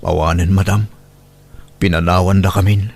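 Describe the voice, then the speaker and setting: A man's voice: deep voice, fairly even intonation, moderate pace, a little mellow, breathy edge. A man with an Ilocano accent playing a humble, anxious young single father in a radio drama, hesitant and earnest. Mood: sheepish, sad.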